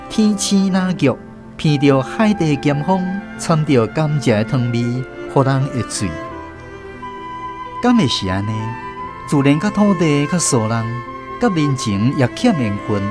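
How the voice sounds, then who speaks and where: Animated Taiwanese man recounting family history. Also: a little high. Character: reflective man recounting his family's past. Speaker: radio drama narrator. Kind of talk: literary scripted first-person story narration. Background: music under the voice.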